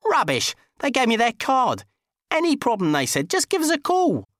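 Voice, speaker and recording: A man's voice: extremely high pitch, wildly swooping pitch, a bit bright, no room sound, clean studio sound, voice pushed up, heavily acted. A man voicing a sulky, dim, cocky male best mate who whines and protests in comic audiobook dialogue. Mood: indignant. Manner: whining, protesting, quick to take offence.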